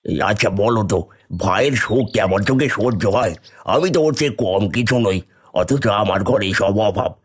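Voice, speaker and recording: A man voicing an envious, scheming poor villager who grumbles bitterly in an animated moral story. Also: lowish voice, fairly quick, breathy edge. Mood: envious grumbling.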